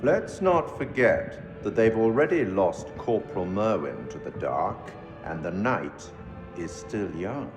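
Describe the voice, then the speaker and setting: An actor playing a mysterious, sardonic curator of stories in a horror game, speaking in a formal, measured, theatrical way. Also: male voice, fairly low pitch. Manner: formal, measured, ominous, addresses listener directly. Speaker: narrator and host figure of a horror game. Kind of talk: theatrical scripted game monologue. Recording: echoey hall reverb, music behind. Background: music.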